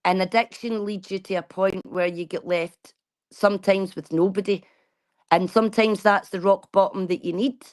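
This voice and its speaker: A woman's voice: mid-range voice, fairly even intonation, normal speed. A woman, talking bluntly and casually to her viewers and swearing freely. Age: 40s. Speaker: vlogger. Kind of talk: casual chat.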